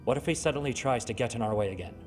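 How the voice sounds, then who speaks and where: A man's voice: mid-range voice, racing, close to an everyday voice. Man acting a reverberant, deferential villain's henchman. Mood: dutiful. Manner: formal, deferential. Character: dutiful, deferential henchman reporting to his villain master. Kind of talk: scripted video game acting. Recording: long hall reverb, music underneath.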